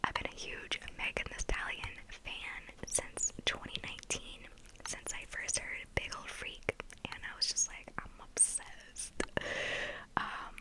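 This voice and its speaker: A young woman from Texas chatting casually in a soft whisper for ASMR, full of "like" fillers. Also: a little slow, low-quality recording. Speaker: ASMR creator.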